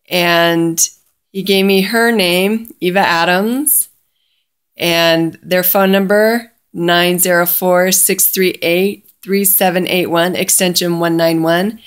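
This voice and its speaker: A woman's voice: fairly low pitch, steady tone, takes it slowly, clear, resonant tone. A woman casually telling a personal story in a chatty way, often quoting both sides of a conversation.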